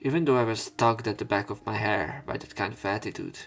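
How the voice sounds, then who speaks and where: A man with a fairly low voice hosts a let's play of a visual novel. He reads the story text aloud and mixes in his own casual, unpolished commentary. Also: fairly quick, creaky. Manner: informal, swearing in reactions. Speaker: let's player.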